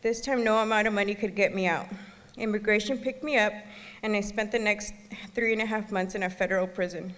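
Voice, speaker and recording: Woman, 30s, from El Salvador, earnest halting speech at a podium, long hall reverb. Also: mid-range voice, steady tone, fairly bright tone. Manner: earnest, halting, occasional restarts. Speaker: gala speaker. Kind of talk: personal testimony speech. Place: gala banquet hall.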